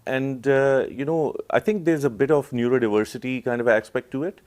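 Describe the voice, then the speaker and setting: A man's voice: medium pitch, brisk, a little mellow. A middle-aged man from Pakistan speaking as an artist being interviewed, reflective and hesitant as he searches for his words.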